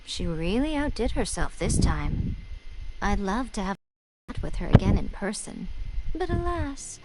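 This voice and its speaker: A woman playing a sly, elegant fox shrine priestess in a video game, coy, measured and knowing. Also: mid-range voice, sing-song, very noisy. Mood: musing, coy.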